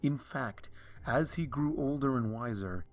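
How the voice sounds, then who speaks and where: A man reading a dark fan story aloud in a slow, hushed, brooding way. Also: mid-range voice. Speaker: fanfiction reader. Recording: soft music underneath.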